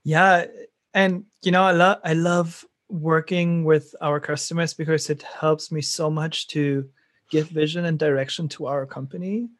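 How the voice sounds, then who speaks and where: A man's voice: fairly high pitch, steady tone, a little slow, dry recording, clean studio sound. A middle-aged man from Germany with a German accent, a startup founder talking enthusiastically in an interview, rambling with frequent restarts. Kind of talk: conversational interview.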